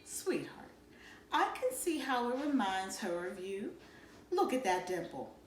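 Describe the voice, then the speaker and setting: A woman's voice: medium pitch, big pitch swings, unhurried, reverberant, slight background noise, poor audio. A woman voicing a patient, reassuring mother talking to her children in a storybook. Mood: soothing.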